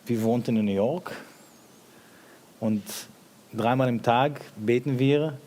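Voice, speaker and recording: A man speaking as a panel guest in a discussion, thoughtful and hesitant, with frequent pauses. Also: medium pitch, darkish tone. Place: event hall with audience.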